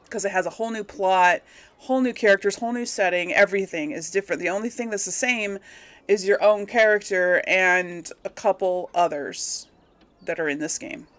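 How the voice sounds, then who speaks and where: Casual woman chatting through a game. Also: mid-range voice. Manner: informal, chatty, thinking aloud. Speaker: let's play host. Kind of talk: casual gaming commentary to viewers.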